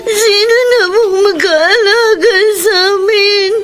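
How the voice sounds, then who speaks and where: A grieving young orphan girl in a radio drama. Her very high voice drags out each phrase extremely slowly, crying and helpless, with soft music underneath. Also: heavily acted. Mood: tearful, despairing. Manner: plaintive, halting. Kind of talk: tearful radio drama acting.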